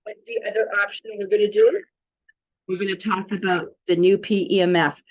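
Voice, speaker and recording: A woman's voice: medium pitch, fairly even intonation, brisk. Chatty woman, dull lo-fi sound. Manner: chatty, informal, rambling. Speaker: presenter demonstrating a wellness app. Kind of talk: casual product tutorial. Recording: dull, lo-fi audio.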